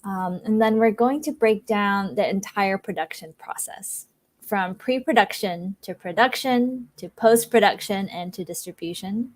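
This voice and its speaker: A woman's voice: medium pitch, unhurried. Upbeat woman presenting slides. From Singapore. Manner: upbeat, many ums, talks through slides. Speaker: guest speaker, filmmaker. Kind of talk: presentation to online audience.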